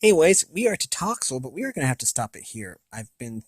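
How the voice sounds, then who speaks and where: A man's voice: mid-range voice, very animated, no room sound. Rambling American man, dry close sound. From United States. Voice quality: slightly raspy from long talking. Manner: rambling, halting, thinking aloud. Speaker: video host. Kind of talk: casual, unscripted video game review.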